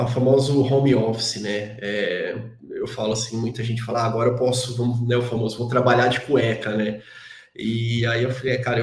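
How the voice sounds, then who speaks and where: A Brazilian man, a guest on an interview show, talking casually with a Brazilian Portuguese accent, tagging his sentences with constant little questions and poking fun at himself. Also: medium pitch. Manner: chatty, constant "né" tag questions, self-deprecating. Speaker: guest, database engineer. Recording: roomy echo.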